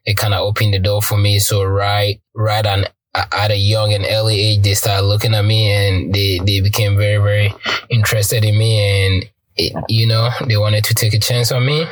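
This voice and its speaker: Young guy from ghana, lowish voice, laid back, lots of ums, dry close sound. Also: no echo, low-quality recording. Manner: relaxed, halting, many ums and restarts. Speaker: guest, professional soccer player. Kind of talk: casual interview.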